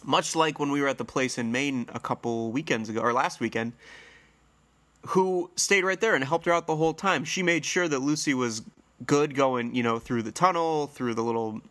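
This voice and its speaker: A man's voice: mid-range voice, moderate pace, fairly bright tone. Congested, nasal man hosting a podcast. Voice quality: slightly hoarse. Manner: rambling, friendly storytelling with fillers. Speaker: podcast host. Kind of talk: casual solo podcast chat.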